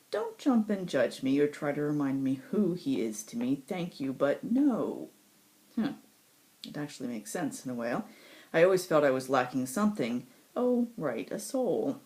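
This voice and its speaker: A woman voicing a cynical, sardonic supernatural male assassin in a first-person story, with sarcastic, dramatic asides and emphatic pauses. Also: deep voice, mellow. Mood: dry, defensive. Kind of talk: first-person audiobook-style story reading.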